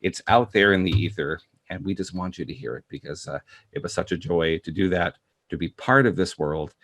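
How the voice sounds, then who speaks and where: A man's voice: deep voice, a little fast, a bit bright, no echo. A man from Montreal, chatting casually as a guest, reflective and enthusiastic. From Montreal, Canada. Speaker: interview guest. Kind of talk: casual chat.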